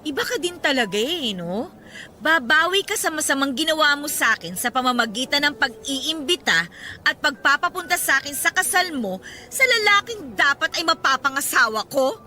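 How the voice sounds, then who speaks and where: A woman's voice: high-pitched, sing-song, moderate pace, pushed and bright, exaggerated voice. An actress playing an indignant, sarcastic mother who feels bitterly wronged, in an emotional radio drama style. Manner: biting, sarcastic retorts.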